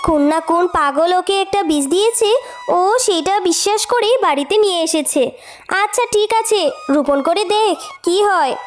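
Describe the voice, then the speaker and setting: A woman's voice: extremely high pitch, measured pace. A woman voicing a caring village mother who chides kindly in a cartoon story. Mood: doubtful. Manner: chiding but kind.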